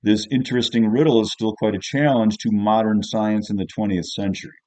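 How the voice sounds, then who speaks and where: A man voicing a sarcastic old male history teacher in an audiobook, scolding and insistent, with slow, drawn-out emphasis. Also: on the low side, fairly even intonation, a little mellow, close to an everyday voice. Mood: sarcastic, mocking. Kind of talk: audiobook character voice.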